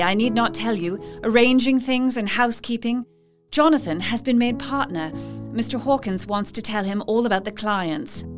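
A woman's voice: fairly high pitch, natural voice. A woman playing a devoted, cheerful young newlywed reading her affectionate, old-fashioned letter in an audio drama. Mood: fond, delighted.

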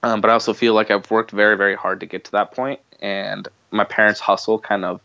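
A man's voice: fairly low pitch, steady tone, fairly quick, gravelly, sharp tone, no room sound. A man from Bosnia who moved to the United States, chatting informally as a podcast guest and repeating phrases for emphasis, on slightly lo-fi audio. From Bosnia, later the United States. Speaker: podcast guest, marketing entrepreneur. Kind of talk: casual conversational interview.

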